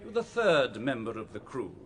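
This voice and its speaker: A man acting a forceful, theatrical prosecuting barrister with a formal, probing manner in a courtroom radio drama. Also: medium pitch, very animated, normal speed, crowd noise. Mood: probing, measured.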